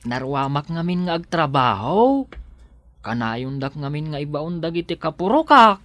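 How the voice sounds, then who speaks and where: A man's voice: a little high, sing-song, moderate pace, fairly bright tone, no room sound. A man playing a naive, plucky, poor odd-job worker in a radio drama, artless and protesting. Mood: deferential, naive.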